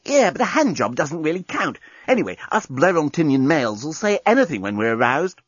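A man voicing an amorous, excitable alien journalist in a comic sci-fi audiobook, earnestly explaining and protesting. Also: fairly high pitch, fairly quick, slightly rough, no room sound, dull audio, voice pushed up. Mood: smug, amorous. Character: amorous male alien journalist, pleading, excitable, self-important. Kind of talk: comic science fiction audiobook.

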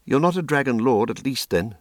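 A man's voice: medium pitch, lively intonation, a little fast, no echo, clean studio sound, voice pushed up, lightly acted. A man voicing a proud, fierce young priestess in a storybook reading, scornful and threatening. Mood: probing. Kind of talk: audiobook character reading.

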